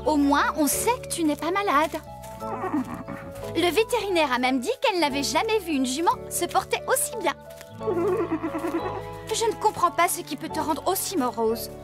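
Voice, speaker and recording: An actress playing a caring, chatty farmer woman in a children's cartoon, talking in an expressive, coaxing way. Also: extremely high pitch, normal speed, pauses often, over music. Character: caring, chatty, good-humoured farmer woman tending animals.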